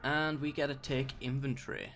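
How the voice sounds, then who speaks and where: Young gamer, a bit croaky with a sore throat, relaxed let's-play commentator who talks through each move. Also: male voice, mid-range voice, moderate pace, a little hiss or hum. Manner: thinks aloud, mild self-talk, bursts of mock outrage. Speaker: gameplay commentator.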